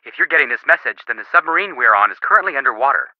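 A brisk young male detective in a video game, acted by a man, leaving a recorded message. He talks fast and lively, through dull, muffled audio. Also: medium pitch, no room sound, lightly acted. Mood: upbeat, brisk.

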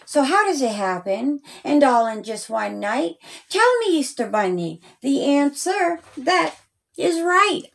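Woman as excited little boy, high and unhurried. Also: creaky, exaggerated voice. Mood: earnest. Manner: earnest, simple rhymed sentences. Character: excited little boy who loves Easter, storybook narrator.

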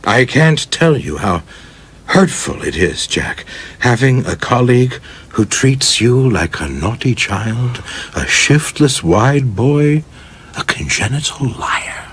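A man's voice: mid-range voice, sing-song, slow, fairly bright tone, husky, full character voice. A man playing a flamboyant, self-assured young film director in a radio play, with a grandiloquent, theatrical manner. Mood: wry, evasive.

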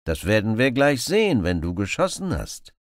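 A man voicing a sly heroic outlaw in an audiobook, composed and quietly provocative. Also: on the low side, huge pitch swings, normal speed, no echo, clean studio sound, voice pushed up. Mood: quietly provoking. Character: calm, sly heroic male outlaw, feigning innocence. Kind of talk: audiobook character acting.

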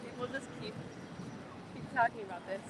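A young woman from the United States, co-hosting a casual livestream outdoors, chatty and quick to laugh. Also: fairly high pitch, a crowd in the background. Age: young adult. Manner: chatty, laughs often, says like a lot. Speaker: livestream co-host. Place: busy city pedestrian street outdoors. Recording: clear street noise.

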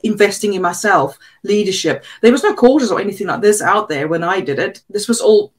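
A middle-aged South African woman, English not her first language, talking over a video call. She speaks plainly and with confidence, at a middle pitch for a woman, telling it straight from an office. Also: fairly quick. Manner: direct, self-assured, talks with her hands. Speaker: business coach guest. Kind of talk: podcast interview. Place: office with glass walls, indoors.

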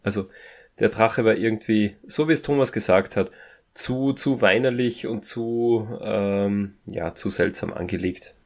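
A man with a fairly low, creaky voice chats as co-host of a review podcast. He talks haltingly, doubling back on words as he goes. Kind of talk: casual podcast review chat.

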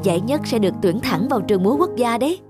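A woman's voice: a little high, expressive, very fast, over loud music, lightly acted. A woman voicing a kind, encouraging young ballet teacher in a cartoon, speaking politely and explaining things. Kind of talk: cartoon dubbing.

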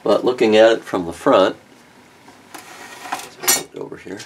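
A man's voice: lowish voice, a little slow, slight background noise, low-quality recording. A middle-aged man narrating a repair at his workbench in a casual, thinking-aloud way with many ums and restarts. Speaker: car restoration vlogger. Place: home workshop at a workbench.

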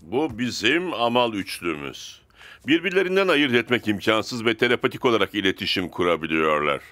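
An actor playing a stern, authoritative football coach in a cartoon dub, matter-of-fact in delivery. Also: male voice, lowish voice, sing-song, brisk, pushed and bright, no room sound. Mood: explaining. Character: stern, commanding male football team coach. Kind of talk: Turkish animated cartoon dub.

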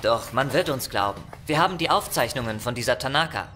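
A man playing a loyal, earnest crewman in a sci-fi horror radio drama, crisp and direct. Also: medium pitch, animated, a little fast, sharp tone, soft background music.

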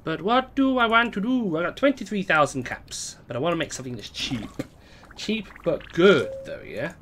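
Chatty young man with a hoarse voice. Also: sits high, animated, normal speed, background noise. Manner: chatty, greets viewers, reads chat aloud. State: hoarse, voice going. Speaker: game streamer. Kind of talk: casual live-stream chat. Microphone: desk mic.

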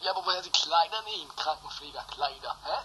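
A woman voicing a loud, slow-witted, confused man with a rough husky voice in an improvised amateur comedy radio play. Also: sits low, expressive, slight background noise, low-quality recording. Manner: blustering, confused.

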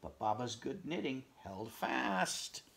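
A middle-aged man reading a picture book aloud at home, expressive, pausing to chat about the pictures and dropping into whispers. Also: medium pitch, creaky, a little hiss or hum. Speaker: read-aloud storyteller. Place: home room, indoors.

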